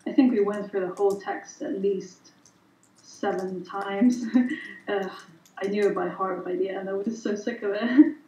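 A woman's voice: medium pitch, warm tone. A woman whose first language is Italian, chatting on a panel with many fillers and restarts over a headset. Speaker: illustrator panelist. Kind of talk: casual panel chat. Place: home studio room. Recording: echoey room, dull video call audio.

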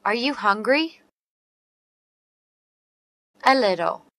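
Woman reading practice lines super slow, a bit high, dry close mic. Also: expressive, a bit bright, no echo. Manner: slow and carefully enunciated. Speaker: English teacher reading dialogue. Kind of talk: slow read-aloud English practice phrases.

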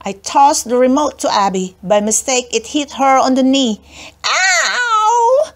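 A woman narrating a children's book aloud in an expressive storytelling style. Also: high voice, normal speed. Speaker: audiobook narrator.

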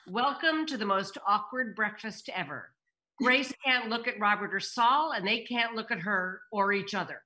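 A woman's voice: a little high, pushed and bright, low-quality recording. An older woman reading scripted stage directions as host of a table read, at home on a video call. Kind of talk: scripted narration. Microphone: computer mic on a video call.

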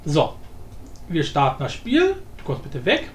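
A man giving a casual, informal software tutorial, explaining step by step. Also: a little high, huge pitch swings, measured pace. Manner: informal, explanatory, often adds tag questions. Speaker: tutorial host. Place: indoor room, voice-over of screen recording. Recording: roomy echo with faint background noise.

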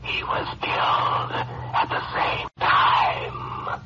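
Creepy whispering crime informant, hoarse, super slow, old radio drama, really noisy dull tape. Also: male voice, raised voice. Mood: cold, menacing. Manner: slow, halting, clipped reporting. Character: sinister whispering male crime-syndicate informant. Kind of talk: 1950s radio acting.

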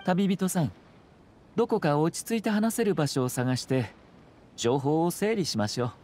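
An actor playing a courteous, composed young noble commissioner in an anime game, speaking in measured, formal politeness. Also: male voice, on the high side, lively intonation, a little mellow, a little hiss or hum, close to an everyday voice. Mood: calm, polite. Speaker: government commissioner.

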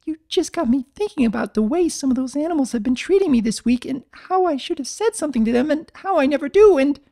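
A man's voice: very high voice, lively intonation, fast talker, vocal fry, warm tone, voice pushed up, heavily acted. A man voicing a timid, sweet young female pegasus pony, anxious and hesitant, stammering in a storybook reading. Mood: panicked, apologetic.

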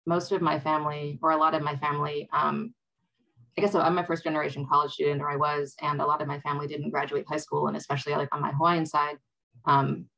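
Low gravelly young woman, hesitant. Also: a bit bright, poor audio. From Wisconsin, USA. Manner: hesitant, reflective, many "um"s. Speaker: panelist. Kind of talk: video call panel discussion. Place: home room. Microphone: video call.

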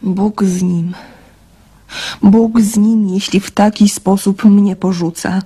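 An actress playing a tender, heartbroken young woman in a radio play, pleading haltingly with a breathy voice. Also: mid-range voice, fairly even intonation, mellow. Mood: anguished. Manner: halting, pleading, emotional delivery. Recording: radio drama with music underneath.

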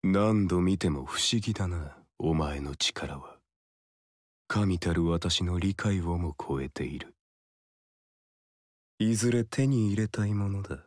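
A deep, haughty power-hungry god. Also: male voice, lively intonation, slow, pauses often, a little mellow, dry recording, studio quality, heavily acted. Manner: haughty, smug, drily amused. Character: arrogant god, cool and condescending, hungry for power. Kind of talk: video game cutscene dialogue.

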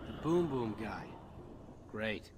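An actor playing a wisecracking human soldier in an alien warrior body, with a dry, sarcastic manner, in a video game. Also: male voice, lowish voice, sing-song, slow pace, darkish tone, a little hiss or hum. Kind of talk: video game dialogue acting.

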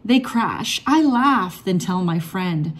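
Slow-paced woman reading a children's storybook. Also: medium pitch, lively intonation. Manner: measured, rhyming storytelling delivery. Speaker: read-aloud storybook reader. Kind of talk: expressive children's picture book read-aloud.

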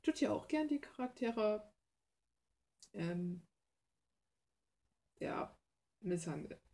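A young woman with a mid-pitched voice rambles casually in a hobby vlog. Her way of talking is blunt and slangy, with a self-deprecating streak. She is recorded indoors at a desk in a small room. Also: lively intonation, slow, mellow. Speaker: hobby artist and video creator. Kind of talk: casual rambling chat while painting.

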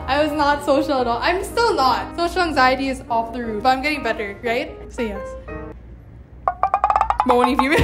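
Chatty young woman touring campus, high voice. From Philippines. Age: young adult. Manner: chatty, upbeat, laughs often. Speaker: vlogger giving a campus tour. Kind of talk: casual walking vlog. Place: indoor halls and classrooms of a university. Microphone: handheld mic at arm's length. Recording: music playing underneath. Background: background music.

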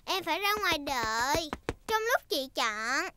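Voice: sits high, big pitch swings, unhurried, sharp tone, a little hiss or hum, exaggerated voice. A performer voicing a cheerful, bossy little girl piglet, a big sister, in a children's cartoon dub. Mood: bossy, secretive.

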